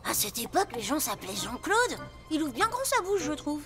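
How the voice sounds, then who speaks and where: A woman voicing a brash, impulsive young boy in an animated cartoon, cheeky and dramatic. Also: high voice, brisk, over music. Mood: cocky.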